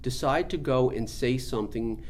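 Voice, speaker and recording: A man's voice: mid-range voice, moderate pace, darkish tone, slight background noise. A man giving an informal spiritual teaching talk, explaining things in an earnest, emphatic way.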